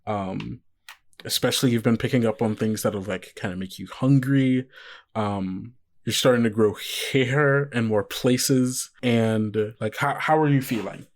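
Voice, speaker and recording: A man's voice: mid-range voice, steady tone. Man casually running a tabletop game. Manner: informal, halting rules explanation. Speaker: game master. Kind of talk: casual actual-play narration.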